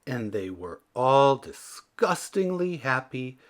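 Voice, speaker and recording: A male storyteller reads a children's book aloud with a highly animated delivery. His pitch moves freely around a middle register, and his phrasing is theatrical and expressive. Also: takes it slowly. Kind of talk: animated read-aloud narration.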